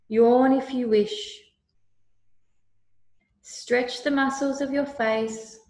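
Very slow, calm woman guiding meditation. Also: on the high side, creaky. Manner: calm, soothing, deliberate instruction. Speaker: guided meditation leader. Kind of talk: slow guided meditation narration. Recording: roomy, echoey voice recording.

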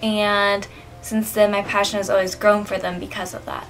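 A sixteen-year-old girl from the United States talks earnestly and calmly to camera. Faint music plays behind her. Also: medium pitch, normal speed, low-quality recording.

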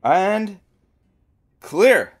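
An actress playing a teasing, irreverent radio producer and sidekick, sardonic in audio drama banter. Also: female voice, medium pitch, sing-song, extremely slow, soft background music. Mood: dry teasing.

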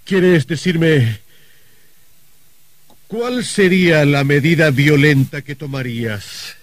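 A man's voice: a little high, slow, dry recording. An actor playing a stern, anxious older wealthy man in a radio drama, hesitant and given to muttering. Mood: agitated.

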